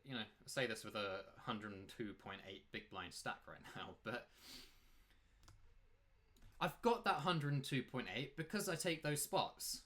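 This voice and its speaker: Male poker streamer, mid-pitched, bright forceful tone, casual rambling commentary with emphatic rhetorical questions. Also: a little slow. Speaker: poker player and coach commentating his own play.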